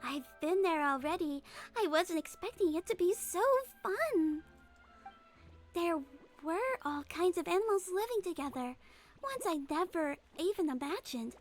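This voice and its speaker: A woman voicing a small, gentle, girlish head nurse who is polite and earnest, in a video game fan dub. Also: very high voice, animated, measured pace, faint music behind. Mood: delighted.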